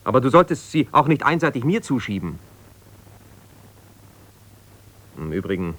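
An actor playing an aggrieved, self-justifying middle-aged husband in a naturalistic radio drama, halting and reproachful as he argues. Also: lowish voice, fairly bright tone, no room sound. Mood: exasperated, accusing. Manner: halting, argumentative, reproachful, breaking off mid-sentence. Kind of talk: naturalistic 1970s German radio drama, one-sided conversation.

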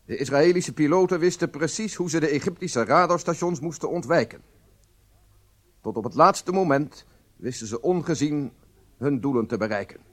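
An actor playing a proud, solemn head of a secret service, narrating a radio drama in a formal, measured way. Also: male voice, a little high, expressive. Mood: solemn, factual. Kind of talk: scripted first-person radio drama narration.

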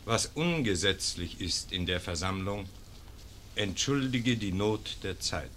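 An actor playing a pious male village pastor in a classic German radio play, speaking with slow, preaching solemnity. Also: low voice, expressive, a bit bright, slight background noise, heavily acted.

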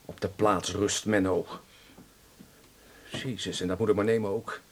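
A man's voice: on the low side, fairly even intonation, unhurried, slight background noise. An actor playing a surly, easily offended policeman in a radio drama, brusque and grumbling. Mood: sulking, defensive. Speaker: police officer at station desk. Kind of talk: naturalistic radio drama acting.

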